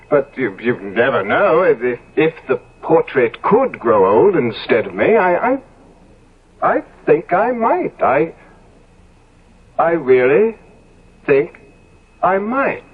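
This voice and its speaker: Vain, evasive young gentleman for old radio drama: highish, very animated, halting delivery. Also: slightly rough, exaggerated voice. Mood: hesitant. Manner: stammering, hesitant. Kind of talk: 1970s radio drama acting. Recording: dull lo-fi old radio broadcast.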